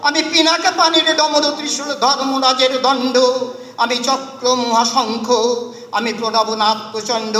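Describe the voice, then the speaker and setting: A man's voice: very high voice, pushed and bright. A man reciting poetry in a dramatic, declamatory style, with emphatic, incantatory delivery in short phrases. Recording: echoey hall reverb, distant voice.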